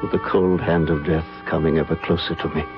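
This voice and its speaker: A man acting in an old-time radio drama as an earnest, courteous gentleman, narrating and speaking in a formal, theatrical manner. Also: very deep voice, level tone, brisk. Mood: solemn, confessional. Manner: formal, theatrical, solemn, hesitant. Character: earnest, courteous gentleman recounting a haunting memory. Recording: dull old radio broadcast, music behind.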